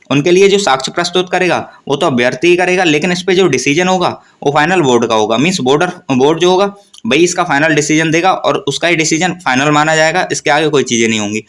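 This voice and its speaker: Bright-voiced man explaining. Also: fairly high pitch. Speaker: host of an exam news channel. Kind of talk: informal explainer to viewers, reading out notices.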